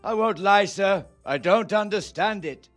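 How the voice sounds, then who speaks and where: A man's voice: high-pitched, unhurried, fairly bright tone, soft background music. A man voicing a worried town priest who questions his lords uneasily and hesitantly. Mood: uneasy. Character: worried male town priest, plain-spoken. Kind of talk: video game cutscene acting.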